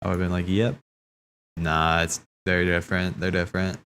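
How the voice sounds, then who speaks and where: A man's voice: low-pitched, little pitch movement, moderate pace, a bit bright, a little hiss or hum. A man hosting a livestream, talking in a casual, slangy, rambling way as he thinks aloud. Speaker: livestream host. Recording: background music.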